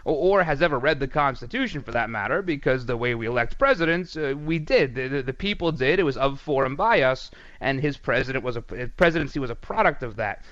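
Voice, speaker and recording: A man's voice: medium pitch, expressive, fairly quick, hardly any pauses, a bit bright, no echo, dull audio. A male radio talk show host delivering a sarcastic, mocking political commentary monologue.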